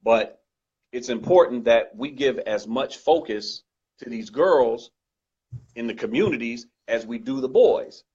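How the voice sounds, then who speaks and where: A man with a loud voice speaking earnestly and emphatically as a guest in a conversational discussion, often repeating his points. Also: medium pitch, unhurried, husky. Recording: dull lo-fi recording.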